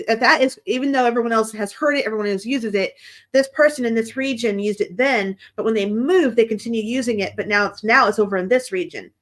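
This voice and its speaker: An American woman from the United States hosting a casual chat, asking probing questions and laughing often. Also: mid-range voice. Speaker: host.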